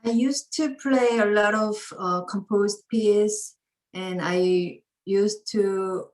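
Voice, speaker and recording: Slow, halting woman. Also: mid-range voice, fairly even intonation, darkish tone, low-quality recording. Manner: hesitant, many fillers and restarts. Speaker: piri performer, guest. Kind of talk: halting spoken explanation.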